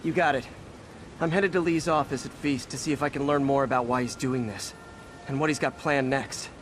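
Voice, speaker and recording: A man's voice: mid-range voice, steady tone, fairly quick, lightly acted. An actor playing a wisecracking, earnest American superhero in his twenties in a video game, conversational and joking, with background noise. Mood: focused. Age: 20s. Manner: quippy, conversational. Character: wisecracking young superhero man, earnest, quick with jokes.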